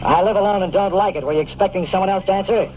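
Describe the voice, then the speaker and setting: Man playing a fast-talking, wisecracking private eye. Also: sits high, slight background noise, lightly acted. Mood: flip, flirtatious. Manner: flip, snappy, sardonic hardboiled delivery. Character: wisecracking, cynical bachelor private detective. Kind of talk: 1950s radio detective acting and first-person narration. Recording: muffled old radio broadcast with music.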